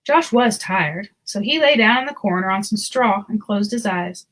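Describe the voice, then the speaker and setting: A woman narrating a children's storybook aloud in an expressive, deliberate storytelling manner. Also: medium pitch, low-quality recording.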